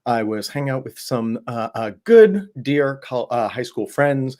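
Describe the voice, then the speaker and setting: Casual male livestream host, slightly hoarse, talkative and rambly, mid-range pitch. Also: animated, unhurried, darkish tone. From Southwest Ohio. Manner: chatty, rambling with many fillers and restarts.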